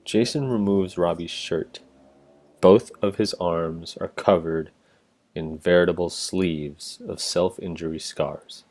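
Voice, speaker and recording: A man serves as narrator for a staged reading. His voice sits low. He reads scripted stage directions between the dialogue. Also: fairly even intonation, slow pace, a little mellow.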